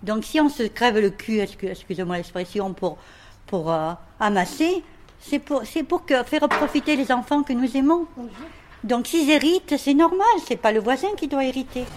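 A woman's voice: high-pitched, normal speed, slight background noise. A woman giving an impromptu opinion, arguing her point emphatically and in plain words. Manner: emphatic, argumentative, plain-spoken. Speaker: street interviewee.

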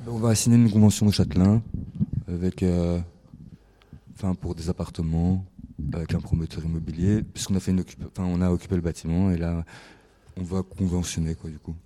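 Man, low pitch, very dark tone, flat delivery, hesitant. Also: a little fast. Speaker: interviewee, squat resident and artist. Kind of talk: casual interview answer.

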